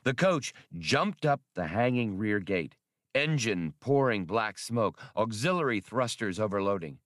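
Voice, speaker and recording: A man's voice: lowish voice, animated, measured pace, a bit bright, dry recording, studio quality. A man reading an adventure novel as scripted, dramatic audiobook narration.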